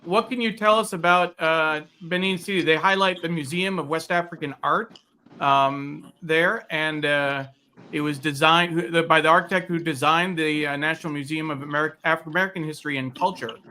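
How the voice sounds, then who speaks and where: Bright, high-voiced man co-hosting. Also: steady tone, a little fast. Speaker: producer and co-host of a live talk show. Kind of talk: casual live discussion.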